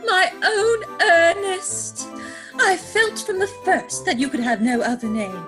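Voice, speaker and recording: A woman as a gushing, high-voiced society maiden. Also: animated, slow pace, music in the background, exaggerated voice. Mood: rapturous. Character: romantic, self-assured young English society lady. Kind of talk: stage comedy dramatic reading. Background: musical score.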